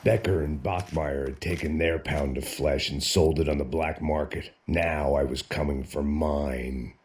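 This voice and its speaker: An actor playing a middle-aged, bitter American ex-cop who narrates in a brooding, deadpan noir style. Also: male voice, very deep voice, a little slow. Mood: grim, determined. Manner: world-weary, brooding, deadpan. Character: weary, bitter, self-loathing American ex-cop antihero. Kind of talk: scripted noir voice-over narration and game dialogue.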